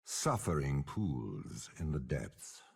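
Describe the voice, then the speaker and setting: An actor playing a commanding, cold, cunning older warlord with demonic power, speaking in slow, measured, eloquent game voice lines with a gravelly rasp. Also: male voice, very deep voice, big pitch swings, exaggerated voice. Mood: cold, ominous. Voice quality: gravelly, raspy. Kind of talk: dramatic game character voice lines.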